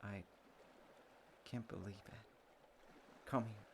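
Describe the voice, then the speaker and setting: Romantic audio RP: gentle, slightly slow childhood friend in love, male performer; deep register, unhurried, halting, intimate, noticeable background noise. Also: fairly even intonation, gravelly. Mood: amazed, moved. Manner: tender, halting, earnest.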